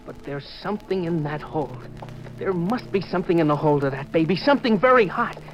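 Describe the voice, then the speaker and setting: Young sailor, played by a male actor, about nineteen: high, jumpy, desperate, 1950s radio acting, faint music beneath. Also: lively intonation, brisk, close to an everyday voice. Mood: uneasy, secretive. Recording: muffled old radio recording, faint music.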